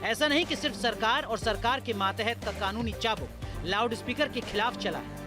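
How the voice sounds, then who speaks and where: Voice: medium pitch, moderate pace. News narrator over background music. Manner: rhetorical, pointed. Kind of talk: scripted dramatic news narration.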